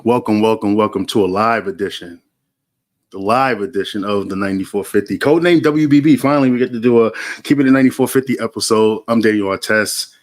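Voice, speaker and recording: A man's voice: lowish voice, fast talker. A man hosting a basketball podcast in a laid-back, slangy way, with lots of fillers. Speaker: podcast host. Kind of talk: casual sports podcast chat.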